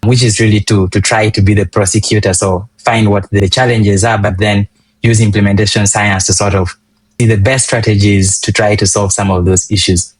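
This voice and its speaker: A young man from Uganda, a public health physician, answering interview questions in an earnest, measured way with frequent ums and restarts. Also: fairly low pitch, little pitch movement, no echo.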